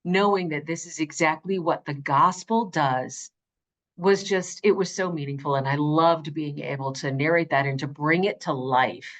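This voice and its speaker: Effusive woman guest, slightly low voice. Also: normal speed. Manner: effusive, emphatic, repeats phrases for emphasis. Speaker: audiobook narrator, interview guest. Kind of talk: earnest conversational interview.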